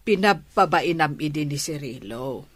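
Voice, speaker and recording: Slow, low-voiced worried mother. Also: expressive, dry recording. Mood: gently reproachful. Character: patient, worried mother coaxing her troubled grown daughter. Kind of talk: radio drama acting.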